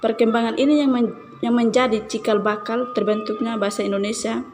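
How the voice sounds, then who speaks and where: A young woman student reading a class presentation in an even manner, with a few restarts. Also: a little high, a little fast, noisy background. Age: young adult.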